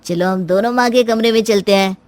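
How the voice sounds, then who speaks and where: Patient big brother for a moral story, played by a woman, gentle and sensible, mid-pitched. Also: a little fast, no room sound, close to an everyday voice. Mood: patient, coaxing. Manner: reassuring, patient.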